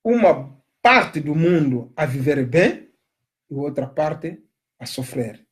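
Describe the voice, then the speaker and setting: A man from Africa giving an impassioned monologue, emphatic and repetitive, stressing his points loudly. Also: fairly high pitch, sing-song, takes it slowly, roomy sound. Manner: emphatic, repeats phrases, rising to loud stresses. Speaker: commentator on migration.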